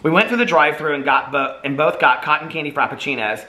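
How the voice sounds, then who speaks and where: A man's voice: a little high, lively intonation, quick pace, pushed and bright. A man with a chatty, playful, informal way of talking, casually vlogging and reading his own book aloud. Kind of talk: casual chat and storybook read-aloud.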